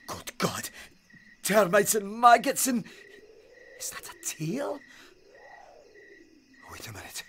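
Man acting an animated, stern police sergeant. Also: fairly high pitch, a little hiss or hum, heavily acted. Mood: horrified. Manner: formal, emphatic, indignant. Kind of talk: audio drama acting.

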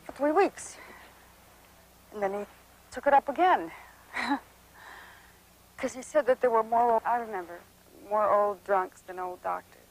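A weary widow with a husky, breathy voice. She rambles softly and wistfully, half laughing. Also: on the high side, unhurried, long pauses, poor audio. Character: warm, weary widow of a drinker. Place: outdoors, cemetery.

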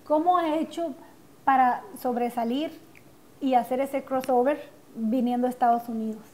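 A woman's voice: high-pitched, steady tone, normal speed, dark tone. A woman co-hosting a morning TV show, welcoming the guest and asking questions in an upbeat way. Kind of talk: TV studio interview. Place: TV studio set. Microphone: lapel.

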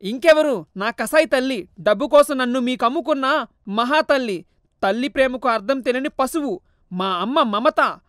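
A woman's voice: high voice, fairly quick, fairly bright tone, dry recording, studio quality, voice pushed up. A woman voicing a grieving young man who pleads and cries out to his mother. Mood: bitter. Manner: tearful, pleading, crying out.

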